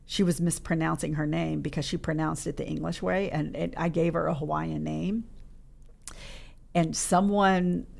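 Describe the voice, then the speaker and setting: A woman's voice: low-pitched, vocal fry. A middle-aged woman, a podcast guest, talking in a reflective way and searching for her words in a studio. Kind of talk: casual interview chat.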